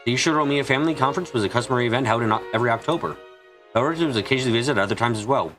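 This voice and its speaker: A man's voice: mid-range voice, very fast, a bit bright, faint music behind. A man reading a visual novel aloud as dramatic scripted narration on a stream.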